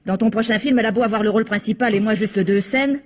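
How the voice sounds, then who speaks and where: An actress playing a vulgar, jealous starlet, sarcastic and vengeful, in a French radio crime drama. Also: female voice, mid-range voice, fast, lightly acted. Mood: spiteful. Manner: sarcastic, cutting, confrontational.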